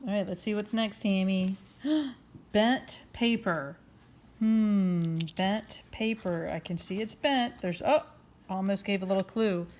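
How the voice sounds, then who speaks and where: A woman hosting a children's story time, reading aloud expressively and encouragingly, with questions for her listeners. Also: fairly high pitch. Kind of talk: interactive picture-book read-aloud.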